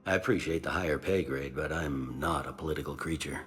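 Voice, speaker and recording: Gruff, blunt male cop, world-weary, deep pitch, sci-fi game, faint music. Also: fairly quick. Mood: weary grumbling. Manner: blunt, colloquial. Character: gruff, jaded human police officer in a sci-fi game.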